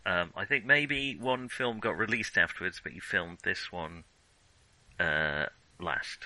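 A man's voice: low voice, unhurried, bright, forceful tone, no room sound, rough recording. A man co-hosting a podcast, talking casually as he reviews films. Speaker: podcast co-host. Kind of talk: casual film review chat.

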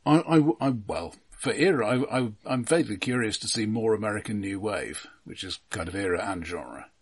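Middle aged brit guy, bit raspy, thoughtful and measured, trips over repeated words, chatting on a film podcast. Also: on the low side, lively intonation. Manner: measured, considered, stammering word repetitions. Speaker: podcast co-host. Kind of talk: casual film discussion.

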